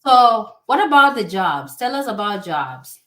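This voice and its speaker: A woman's voice: mid-range voice, sing-song, a little slow, fairly bright tone, slight background noise. A woman hosting a casual interview, prompting her guest with loose questions full of fillers. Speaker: host. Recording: roomy echo.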